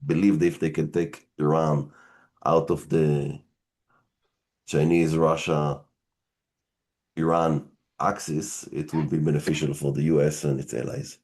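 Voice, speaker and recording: A middle-aged man with an Israeli accent, speaking as a guest on a panel and explaining things in a measured way with halting fillers. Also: very deep voice, little pitch movement, darkish tone. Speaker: guest, science fiction writer. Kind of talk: panel discussion.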